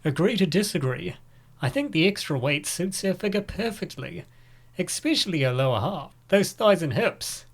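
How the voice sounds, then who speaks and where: A man's voice: sits high, lively intonation, moderate pace, breathy edge, full character voice. A man voicing a smitten, admiring young male coworker in an amateur comic dub.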